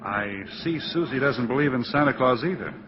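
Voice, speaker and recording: An actor playing a friendly, easygoing lawyer neighbor, gentle and candid, in 1940s radio style. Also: male voice, medium pitch, animated, moderate pace, background noise, close to an everyday voice. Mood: candid, modest. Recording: muffled 1940s radio recording with music.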